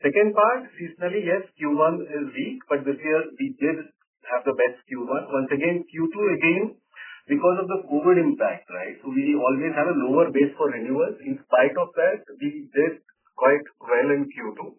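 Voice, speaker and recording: A man's voice: on the high side, moderate pace, a little hiss or hum. Man on a distant, tinny phone line. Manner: explanatory, often checks with "right?". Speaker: company executive answering analysts' questions. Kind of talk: unscripted earnings call answers. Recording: narrow-band phone line, distant from mic.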